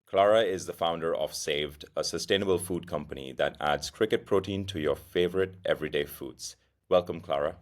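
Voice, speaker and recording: A young man hosting a podcast, asking measured, probing questions of his guest. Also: deep voice. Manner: measured, question-led interviewer. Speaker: podcast host. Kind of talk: interview hosting.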